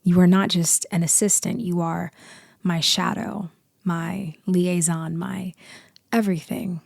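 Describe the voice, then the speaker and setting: Low, creaky, commanding lady boss played by a woman. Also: flat delivery, no echo, clean studio sound. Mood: commanding, intimate. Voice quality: deep, slightly creaky, hushed in places. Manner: slow, deliberate, commanding, with weighty pauses. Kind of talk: ASMR roleplay acting.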